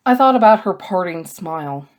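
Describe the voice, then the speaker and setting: A woman's voice: lowish voice, animated, moderate pace. A woman narrating a scripted first-person story as an audiobook reader.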